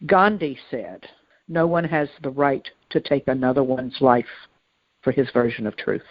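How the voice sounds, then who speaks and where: A woman co-hosting a conversational podcast, explaining things in a reflective, measured manner. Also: very deep voice, no echo, highs cut off. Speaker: podcast co-host.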